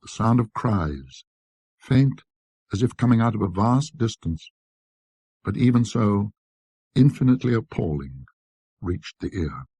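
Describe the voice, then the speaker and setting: Low raspy guy reading an old ghost story, very expressive, measured and scholarly, dry close sound. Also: no room sound. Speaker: narrator of a classic ghost story. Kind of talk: dramatic scripted storytelling.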